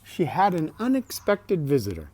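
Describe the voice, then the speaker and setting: A man reads a picture book aloud to children in a mellow voice. His pitch rises and falls expressively, and he stretches words, pauses and slips in casual, chatty asides. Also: huge pitch swings, slightly rough. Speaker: storybook reader.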